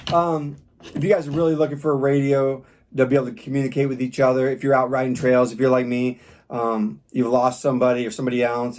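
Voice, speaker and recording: A man's voice: mid-range voice, a little mellow. A man giving a casual, unscripted product review in a plain-spoken, rambling, informal way. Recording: clear background noise with clicks.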